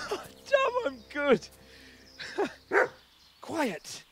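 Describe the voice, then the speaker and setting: A man's voice: extremely high pitch, sing-song, drawn-out words, gravelly, over loud music, full character voice. An actor playing an arrogant, hot-tempered young nobleman in a video game, with a haughty, sneering drawl. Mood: boastful excitement. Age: young adult. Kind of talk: dramatic video game acting.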